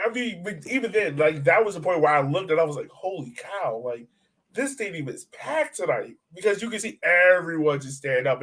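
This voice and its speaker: A man's voice: a little high, fairly bright tone, slightly rough, low-quality recording. A man co-hosting a sports podcast in casual, informal, enthusiastic fan chat. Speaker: podcast co-host.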